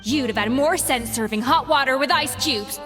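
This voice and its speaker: A woman playing a strict, exacting young head chef in a video game, brisk and commanding. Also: sits high, natural voice. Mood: stern, scolding. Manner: stern, clipped, commanding. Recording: reverberant, faint music behind. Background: music.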